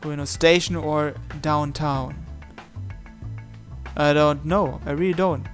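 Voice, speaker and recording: Higher-pitched man, dramatic read-aloud narration. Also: steady tone, takes it slowly. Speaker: visual novel let's player. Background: game music.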